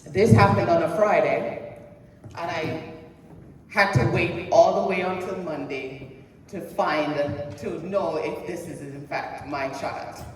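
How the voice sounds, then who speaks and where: A woman's voice: lowish voice, slight background noise. A woman in middle age delivers a personal motivational talk, taking her time over each phrase. She turns to the listeners with questions now and then. Her voice rings through a big reverberant hall, caught from far off. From Jamaica. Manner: slow, deliberate, asks the audience questions. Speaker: presentation speaker. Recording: echoey hall heard from a distance.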